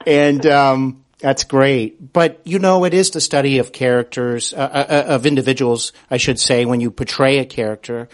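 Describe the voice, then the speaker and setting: A man's voice: mid-range voice, steady tone, moderate pace, hardly any pauses. A man hosting a conversational interview, speaking in an affirming, wordy way with hesitant phrasing. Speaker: podcast host and interviewer.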